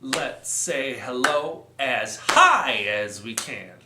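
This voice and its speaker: Male children's storytime host, playful and encouraging, unhurried delivery, roomy echoing sound. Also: medium pitch, lively intonation, heavy background noise. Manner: encouraging, playful, simple repeated instructions. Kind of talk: sing-along storytime for young children.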